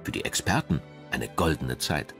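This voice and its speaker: Man, documentary narration, low pitch, animated melody, polished delivery, music behind. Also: normal speed. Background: background music.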